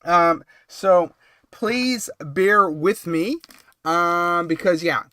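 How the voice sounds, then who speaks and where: Man, live stream host, has a cold, rambling and chatty, lots of ums, high voice, dry close sound. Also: very slow, pushed and bright, smooth, dry recording. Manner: rambling, chatty, full of ums and little laughs. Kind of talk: casual late-night live stream chat.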